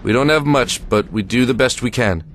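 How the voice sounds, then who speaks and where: A man's voice: mid-range voice, normal speed, lightly acted. A man playing a weary, guarded American army lieutenant in an audio drama, speaking in a clipped, plain way with military authority. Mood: questioning, firm. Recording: music under the voice.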